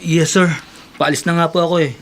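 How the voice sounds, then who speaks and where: An actor playing a humble, eager young errand worker and devoted son in a Tagalog radio drama. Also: male voice, fairly high pitch, fairly even intonation, fairly quick, a little hiss or hum, close to an everyday voice. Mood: meek, eager. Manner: deferential, earnest.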